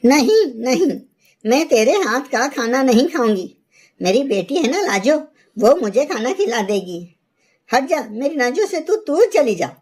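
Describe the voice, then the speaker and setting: A woman as high-voiced, domineering mother-in-law bird. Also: sing-song, a little slow, a little mellow, husky, heavily acted. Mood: angry, scolding. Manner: harsh scolding, melodramatic. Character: scolding, domineering mother-in-law bird, older, partial to her daughter. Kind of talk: animated moral story acting.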